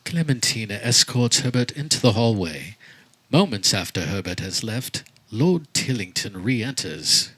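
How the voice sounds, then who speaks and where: A man playing the formal narrator of a mock-romantic radio play, reading florid scripted stage directions deliberately. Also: lowish voice, very animated, fairly bright tone, husky, full character voice. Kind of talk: scripted radio theater narration before a live audience. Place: backyard, before a live audience.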